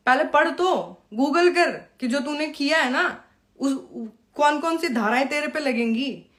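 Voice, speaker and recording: A woman's voice: high-pitched, animated, measured pace, fairly bright tone. A woman talking informally to camera in a confrontational, scolding and taunting way, with rhetorical questions. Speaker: live video host.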